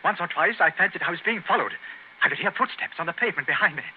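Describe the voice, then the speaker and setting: An actor playing a guilt-ridden gentleman traitor in a 1930s radio drama, agitated and pleading. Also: sits high, expressive, fast. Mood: confessing. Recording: tinny, noisy 1930s radio broadcast.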